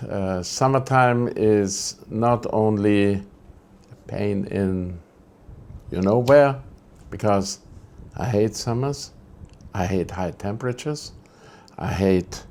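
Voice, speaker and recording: A man's voice: fairly low pitch, takes it slowly, long pauses, darkish tone. A man from Greece, hosting a casual vlog update indoors, grumbling and rambling and correcting himself as he goes. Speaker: photography channel host. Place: indoors, air conditioning switched off.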